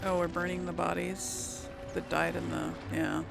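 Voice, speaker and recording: Creaky-voiced woman doing gameplay commentary. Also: lowish voice, little pitch movement, measured pace, music in the background. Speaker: game streamer, let's player.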